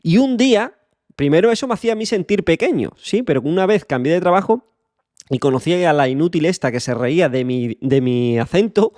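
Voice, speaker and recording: Blunt, high-voiced man lecturing. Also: animated, brisk, darkish tone, no room sound, clean studio sound. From Extremadura, Spain. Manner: blunt, swears freely, lecturing the listener directly. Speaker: podcast host. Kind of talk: motivational monologue.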